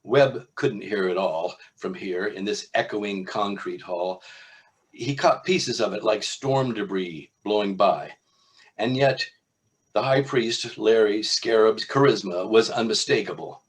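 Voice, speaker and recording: A man, an author, reading from his own novel in a measured literary style with informal asides. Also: on the low side, low-quality recording.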